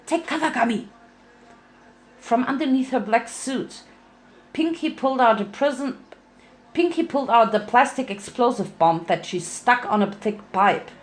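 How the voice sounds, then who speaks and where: A woman's voice: a little high, moderate pace. A woman giving a playful, theatrical dramatic reading of a fan fiction story as its narrator.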